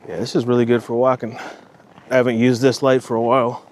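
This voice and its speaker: A man's voice: mid-range voice, flat delivery, fast, a little mellow, slight background noise. A man vlogging casually on a night walk outdoors, rambling and swearing now and then, with a slight Minnesotan accent. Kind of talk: casual walking vlog.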